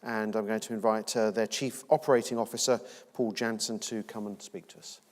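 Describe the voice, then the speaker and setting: A man's voice: lowish voice, little pitch movement, a little fast. Man introducing a speaker in a hall. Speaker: conference chair. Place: large conference hall. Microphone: lectern mic. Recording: distant, long hall reverb.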